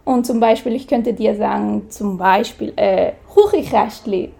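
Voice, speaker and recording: Slow-spoken Swiss woman with gravelly voice. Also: medium pitch, mellow. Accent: Swiss German. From Switzerland. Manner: hesitant, searching for words with many fillers. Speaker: guest. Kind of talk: casual interview.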